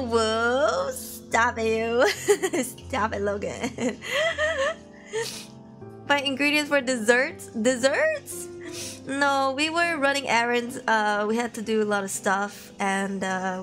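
A woman's voice: high voice, sharp tone. A young woman chatting casually with livestream viewers, bubbly and giggly, her voice tired and sniffly. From Malaysia. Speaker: VTuber streamer. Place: home room.

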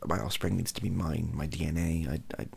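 A young British man, softly spoken, rambling hesitantly through an opinion monologue in a gravelly, husky voice and often trailing off. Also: extremely low pitch, fairly even intonation, a little fast. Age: young adult. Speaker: ASMR YouTuber. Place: small home room, indoors.

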